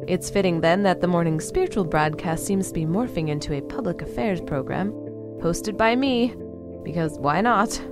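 A wry, sardonic female android voiced by a woman hosts an audio-drama broadcast in a low voice. She speaks formally and articulately, with clear music playing underneath. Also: normal speed, natural voice. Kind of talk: audio drama, talk-show hosting.

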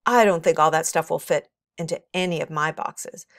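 Lady reading bossy excited little schoolboy from a kids book, eager n emphatic, dry sound. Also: low voice, normal speed, no room sound. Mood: dismissive.

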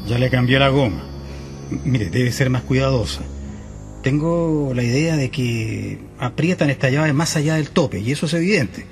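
An actor with a Chilean accent playing a serious, self-assured, condescending plumber in a radio drama. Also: male voice, mid-range voice, lively intonation, normal speed, lightly acted. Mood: brusque, self-assured. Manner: condescending, tags sentences with "eh". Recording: music behind the voice.

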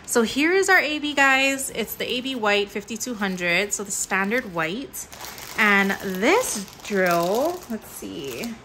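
Chatty, bright-voiced woman crafting host. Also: medium pitch, big pitch swings, slow, a little hiss or hum, poor audio. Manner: chatty, upbeat, many fillers. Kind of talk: casual unboxing commentary. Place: indoors at a table. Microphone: overhead camera mic, hands-only framing.

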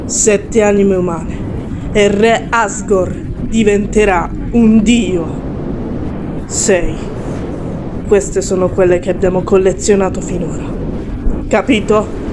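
A woman's voice: medium pitch, fairly even intonation, takes it slowly, background noise, natural voice. A woman voicing a fierce, mocking fish-woman warrior in a cartoon musical, theatrical and grandiose as she speaks and sings. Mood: solemn, menacing. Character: fierce, gloating fish-woman warrior in armor, self-styled hero. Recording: music behind the voice.

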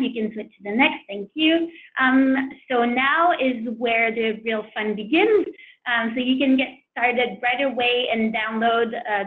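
A woman hosting a product webinar, presenting and reading audience questions in a friendly way with many fillers. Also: a little high. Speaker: webinar host. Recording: tinny phone-like line.

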